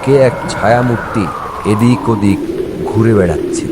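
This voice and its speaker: A man's voice: on the low side, moderate pace, very noisy. A man narrating a scripted horror story for a cartoon. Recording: loud music over the voice. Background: loud music.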